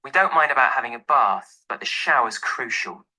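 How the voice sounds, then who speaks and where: Actor as bright-voiced young man, casual. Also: medium pitch, expressive, normal speed, low-quality recording. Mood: casual, friendly. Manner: casual, informal. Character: easygoing young male professional phoning to rent a flat. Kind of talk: acted phone dialogue for a listening test.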